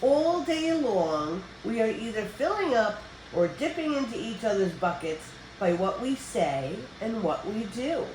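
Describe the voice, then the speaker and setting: An older woman reading a children's picture book aloud as a storyteller, with expressive, deliberate phrasing for young listeners. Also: fairly high pitch. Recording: roomy echo with background noise.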